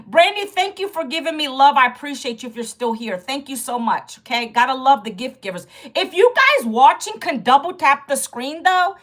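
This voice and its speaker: A woman's voice: high-pitched, expressive, moderate pace, hardly any pauses, a bit bright. A woman in her fifties from Virginia, hosting a casual livestream and chatting directly with her viewers about losing her job. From Virginia, United States. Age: 50s. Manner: chatty and direct, greets and addresses viewers often. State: sore back, fearing loss of voice. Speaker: livestream host.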